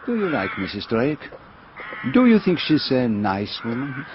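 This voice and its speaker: An actor with a French-speaking Belgian accent playing a courteous, formal detective who probes deliberately in a radio mystery. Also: male voice, medium pitch, sing-song, background noise. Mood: polite, wry. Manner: formal, deliberate, politely insistent. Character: courteous, probing middle-aged Belgian detective. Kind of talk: radio mystery drama acting. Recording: dull, muffled radio drama recording.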